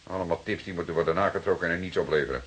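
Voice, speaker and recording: An actor playing a calm, stubborn, dryly ironic police detective in a radio drama, speaking in a measured way. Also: male voice, low voice, slight background noise. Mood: dry, grave. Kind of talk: Dutch radio drama acting.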